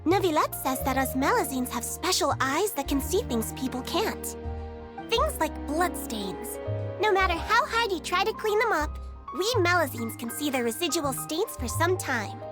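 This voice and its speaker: A woman's voice: high voice, very animated, normal speed, over loud music. A woman voicing a sweet, childlike magical creature in a game, guileless, eager and earnest. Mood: earnest, proud. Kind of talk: game character acting.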